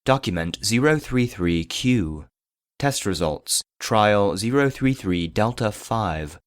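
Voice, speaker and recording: An actor playing an impassive archive database voice, reading classified reports in a formal, measured, clinical way. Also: male voice, on the low side, expressive, no echo, studio quality. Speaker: narrator. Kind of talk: scripted narration, clinical report.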